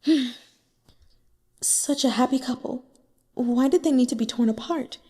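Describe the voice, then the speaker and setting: A woman reading the lines of a sorrowful young woman cultivator in a storybook, with a soft, wistful delivery. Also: high-pitched, a little slow, husky, big-room sound, voice pushed up.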